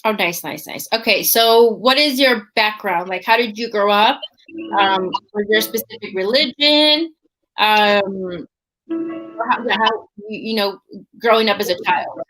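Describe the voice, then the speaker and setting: A woman's voice: mid-range voice, measured pace, a bit bright, poor audio. A woman hosts a faith podcast in a loose, chatty way. Her voice sits in an ordinary middle register, and she keeps checking in with listeners by ending thoughts with "right?". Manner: chatty, often tags lines with "right?". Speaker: podcast host. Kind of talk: casual faith podcast talk.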